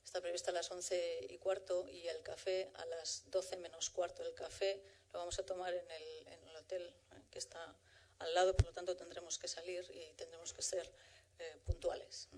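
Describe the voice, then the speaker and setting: Flat-voiced woman moderating a conference. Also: lowish voice. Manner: measured, with frequent restarts. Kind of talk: formal event introduction.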